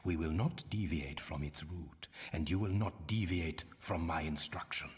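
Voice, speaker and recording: An actor playing a stern, condescending older archaeologist mentor in a video game, speaking formally and instructively. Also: male voice, low voice, unhurried. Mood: grave, warning. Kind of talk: video game cutscene and tutorial acting. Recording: long cavernous reverb.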